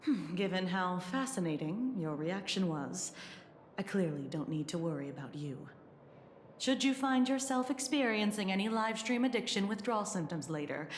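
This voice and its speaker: An actress playing a composed, clinical government official in a video game, speaking in a measured, formal way. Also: female voice, lowish voice, expressive, echoey room, slight background noise, close to an everyday voice. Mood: detached, formal. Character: composed, clinical female official of a sci-fi emergency agency. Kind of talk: scripted video game voice acting.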